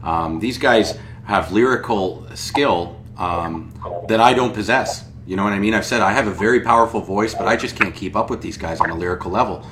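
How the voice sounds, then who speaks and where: A man's voice: fairly low pitch, normal speed, a bit bright, a little hiss or hum. A man hosting a podcast, talking in an enthusiastic, rambling way full of fillers. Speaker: podcast host. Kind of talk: podcast interview.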